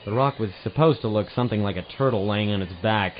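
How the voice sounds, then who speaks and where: A man's voice: lowish voice, fast, close to an everyday voice. A man playing a hard-boiled, world-weary private eye in an audio drama, narrating in a wry noir style. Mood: tense, matter-of-fact. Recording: dull lo-fi home recording, background hiss.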